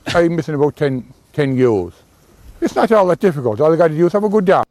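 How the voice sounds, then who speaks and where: High-pitched man with thick Irish accent. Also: sing-song, fast, a little mellow, no echo. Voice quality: thick, slurred, hard-to-understand speech.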